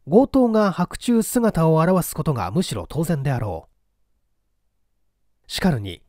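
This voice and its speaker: A man reading a literary mystery story in a formal, measured, dramatic narration style. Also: a little high, mellow, no room sound, clean studio sound. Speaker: narrator, audiobook reader.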